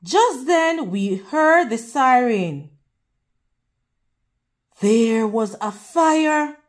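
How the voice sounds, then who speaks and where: Slow, high-voiced woman reading to children. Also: expressive. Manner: slow, deliberate, teacherly, pausing to ask questions. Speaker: children's read-aloud host. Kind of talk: reading a picture book aloud to children.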